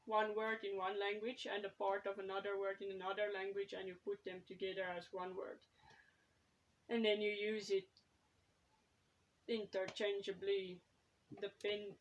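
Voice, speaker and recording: Slow-talking woman. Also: mid-range voice, slight background noise, low-quality recording. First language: Afrikaans. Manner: hesitant, thinking aloud with fillers; consults her notes while talking. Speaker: writer and vlogger. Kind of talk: casual talk to camera.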